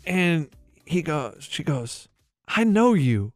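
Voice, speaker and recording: A man co-hosting a sports podcast, excitable and repetitive, telling casual comic stories and acting out the dialogue. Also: fairly high pitch, slow pace, soft background music. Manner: excitable, repeats himself, acts out dialogue. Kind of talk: casual comic banter and storytelling.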